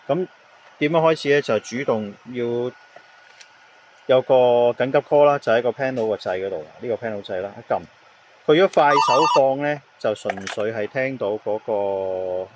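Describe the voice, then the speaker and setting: A man with a Hong Kong Cantonese accent giving an unscripted product demonstration, explaining in halting phrases with English words mixed in. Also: mid-range voice, a little hiss or hum.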